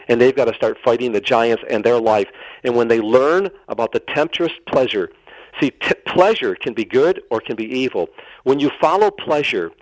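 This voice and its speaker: A man's voice: medium pitch, a bit bright, no room sound, poor audio. American man telling stories, close dry sound. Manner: dramatic storyteller, quotes dialogue. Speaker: radio drama producer, interview guest. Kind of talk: animated anecdote telling.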